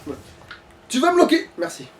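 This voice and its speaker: A man's voice: very high-pitched, huge pitch swings, normal speed. A man chatting casually as he plays a video game, slangy and prone to grumbling. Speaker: let's play player and commentator. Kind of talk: casual gaming commentary chat. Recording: distant mic, faint background noise.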